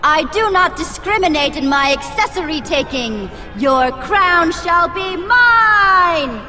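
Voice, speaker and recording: A young underdog heroine in a video game, high and very animated. She is plucky, wry and defiant, over music and crowd noise. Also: slow pace, exaggerated voice. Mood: mock-grand defiance. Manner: earnest, wry, mock-grand.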